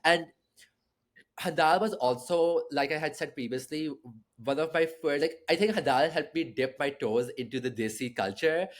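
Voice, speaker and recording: Chatty young male podcast host. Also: medium pitch. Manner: friendly, chatty, many likes. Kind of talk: casual podcast chat.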